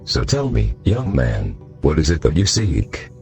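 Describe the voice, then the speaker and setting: A man's voice: extremely low pitch, huge pitch swings, a little slow, darkish tone, faint music behind, full character voice. A man voicing an ancient jinn spirit, grand, ominous and solemn, in a visual novel. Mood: solemn, imposing.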